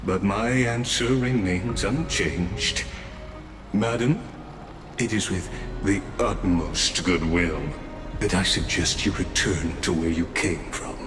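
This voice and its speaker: An ancient, calculating robot watcher in a video game. He speaks very low and unhurried, with formal, measured courtesy that stays firm. The voice echoes, and music plays clearly behind it. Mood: polite, firm. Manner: formal, measured, unfailingly polite. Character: courteous, calculating male robot watcher, long-lived gatekeeper. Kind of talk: scripted video game voice acting. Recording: echoing voice over clear background music.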